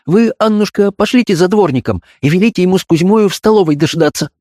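A man voicing an agitated, commanding doctor's wife in an audiobook story, high and urgent in manner. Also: fast, a little mellow, no echo, studio quality. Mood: anxious, commanding. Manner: agitated, shrieking in fright.

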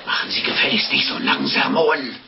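Excitable, scheming male innkeeper. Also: medium pitch, brisk, vocal fry. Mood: impatient. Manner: bossy, excitable. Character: impatient, scheming, sly male innkeeper, father of the bride. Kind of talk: German radio play acting. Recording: dull, noisy old radio recording.

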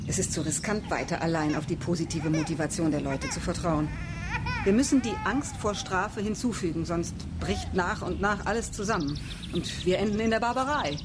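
A woman's voice: lowish voice, normal speed, very noisy, natural voice. Woman playing earnest, resolute colony leader. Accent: standard German. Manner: earnest, insistent. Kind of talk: radio play acting.

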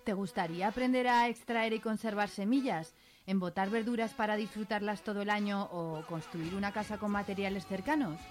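A woman's voice: mid-range voice, steady tone, fairly quick. A woman from reading a scripted radio promo. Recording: music behind the voice. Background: music.

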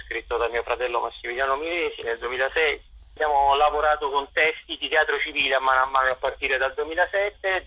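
A man giving a radio interview as a theatre director and actor, articulate and discursive, pausing to search for words. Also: mid-range voice, slightly rough, narrow, tinny audio. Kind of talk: radio interview, explaining and promoting work.

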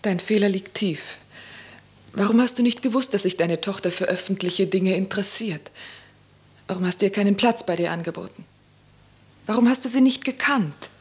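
An actress playing a proud, sharp-tongued, mocking young wife in a radio drama, with a theatrical, taunting delivery. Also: female voice, mid-range voice, normal speed, long pauses, natural voice. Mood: reproachful.

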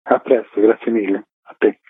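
A husky-voiced man speaks as an interview guest over a telephone line with a noticeable echo. The sound is narrow and boxy. He explains things at length as a festival co-director, in flowing, detailed sentences. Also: lowish voice, fairly even intonation, normal speed. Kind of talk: radio phone interview, explanatory rundown.

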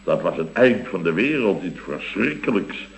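An actor playing a blustering, sentimental middle-aged building contractor in a radio comedy, rambling and exclamatory. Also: male voice, sits low, sing-song, normal speed, vocal fry, muffled recording, exaggerated voice. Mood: reminiscing. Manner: rambling, exclamatory, with repeated tag words. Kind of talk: Dutch radio comedy acting.

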